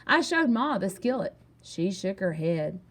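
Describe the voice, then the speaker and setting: A woman reading a children's story aloud in an animated, expressive way with emphatic delivery. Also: medium pitch, unhurried. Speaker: storybook reader.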